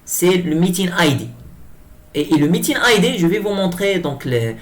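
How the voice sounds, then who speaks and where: A man giving an improvised software tutorial, speaking hesitantly and often repeating himself as he explains each step. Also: sits high, very animated, normal speed. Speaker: tutorial presenter. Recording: clear background noise.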